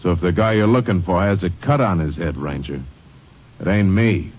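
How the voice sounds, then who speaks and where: A man's voice: low voice, moderate pace, background noise, lightly acted. A man playing a defiant mechanic suspect. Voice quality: evasive, defiant. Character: surly male mechanic robbery suspect. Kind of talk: 1940s radio acting. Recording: dull old radio recording.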